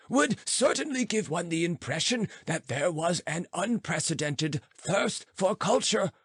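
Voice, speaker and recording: A man narrating an audiobook, reading formal philosophical prose in a measured, oratorical way. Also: on the high side, a bit bright, slightly rough, no room sound, studio quality.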